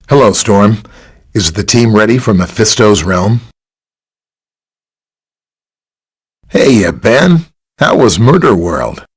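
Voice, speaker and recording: A man playing a friendly, helpful scientist superhero in a video game, with a chatty, polite, explanatory way of talking and a slightly husky voice. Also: lowish voice. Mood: friendly, welcoming. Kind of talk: scripted video game character dialogue.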